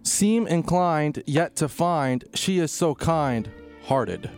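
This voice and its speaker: Slow, halting man with a highish voice. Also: animated, faint music behind. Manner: halting, stumbling over words. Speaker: radio show sidekick. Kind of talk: halting read-aloud and banter.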